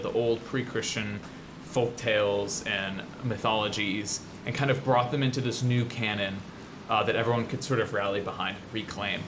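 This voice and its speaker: A man's voice: lowish voice, noisy background. An American man from the Midwest hosting casual game commentary, rambling and explanatory with an enthusiastic manner. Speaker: show host, 3D artist.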